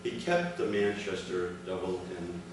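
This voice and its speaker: An older man reading a prepared speech aloud to a gathering in measured, separate phrases. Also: low voice, big pitch swings. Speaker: speaker reading his father's account at an award ceremony. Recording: echoey, noisy hall recording from far off.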